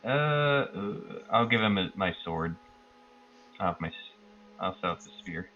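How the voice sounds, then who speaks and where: Low-voiced man, tabletop player, bright tone, halting casual narration, slightly dull recording. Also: lively intonation, faint music behind. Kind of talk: casual improvised roleplay narration.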